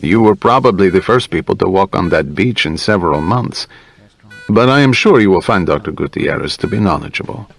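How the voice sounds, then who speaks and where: Male narrator as careful male doctor, low-pitched, courteous, measured, formal, audiobook dialogue. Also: animated, creaky, full character voice.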